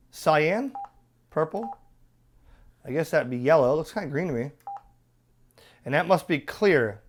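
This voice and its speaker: A man with a slightly high-set voice walks through a product hands-on. His delivery is casual and unscripted, like chatting with a viewer. He is opinionated and punctuates his points with rhetorical questions and quick check-in tags. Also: slight background noise. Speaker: radio reviewer presenting a product demo. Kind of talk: casual off-the-cuff hands-on demo talk.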